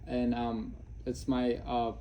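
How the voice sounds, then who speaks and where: A man's voice: medium pitch, completely flat delivery, slow, warm tone, slight background noise, poor audio. A young man from Afghanistan chatting casually as a student interviewer, with a polite, hesitant way of talking. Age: young adult. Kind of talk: casual, informal interview chat.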